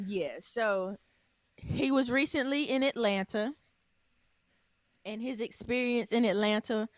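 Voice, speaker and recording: A woman's voice: fairly high pitch, slow, pauses often, no room sound, poor audio. A woman co-hosting a casual podcast, telling a story in a rambling way with many ums and restarts. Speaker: podcast co-host. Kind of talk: casual chat.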